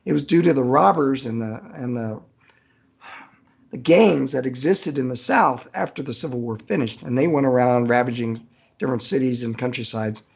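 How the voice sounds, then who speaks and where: A middle-aged man speaks with a gravelly, fry-laden voice in a casual interview. He is an easygoing storyteller who often halts and searches for his words. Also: mid-range voice, moderate pace, a little mellow. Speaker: author being interviewed.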